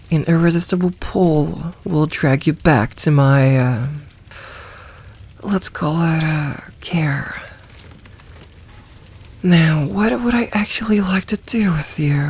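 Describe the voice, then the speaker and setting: Woman voicing a slow, low-voiced possessive demoness. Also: a little mellow, slightly rough, full character voice. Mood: musing, possessive. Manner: teasing, commanding, deliberate with drawn-out pauses. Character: possessive, teasing, dominant demoness with a hungry streak. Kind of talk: ASMR roleplay, soft and intimate.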